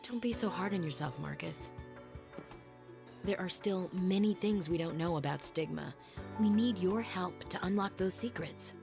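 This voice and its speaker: An actress playing a spirited, supportive young woman surgeon in an animated game drama, expressive and earnest. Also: lowish voice, normal speed, vocal fry, music in the background. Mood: concerned, earnest.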